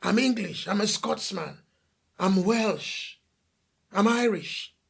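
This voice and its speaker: A man's voice: high-pitched, expressive, slow pace, gravelly, fairly bright tone, slightly rough. A man from The Gambia preaching a sermon as a pastor, emphatic, asking rhetorical questions and repeating lists of phrases.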